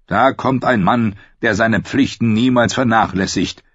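A man voicing a fawning, nervous lord who flatters a king, in a slightly dull audiobook recording. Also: lowish voice, measured pace, breathy edge, dry recording, voice pushed up. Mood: flattering. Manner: obsequious, eager.

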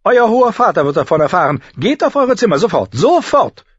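A man's voice: high-pitched, lively intonation, normal speed, dry recording, lo-fi sound, voice pushed up. A man voicing a stern, prim female governess in a storybook, scolding and strict.

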